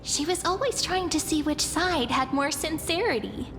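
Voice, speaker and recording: A woman's voice: high-pitched, moderate pace. An actress voicing a confident, sincere girlish companion in a video game, earnest and breezy in her persuasion. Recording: echoey long reverb, music behind. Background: music.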